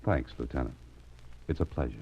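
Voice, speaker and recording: An actor playing a calm, wry private investigator in 1940s radio style, speaking in a dry, understated manner. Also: male voice, a bass voice, brisk, gravelly, slightly rough, heavily acted. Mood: dryly confident. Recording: dull 1940s radio recording, music behind.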